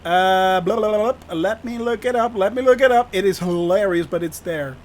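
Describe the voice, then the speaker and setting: High-voiced Dutch man streaming. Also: moderate pace. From Netherlands. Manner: chatty, laughs often, replies to chat. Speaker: flight sim streamer. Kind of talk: casual live-stream commentary.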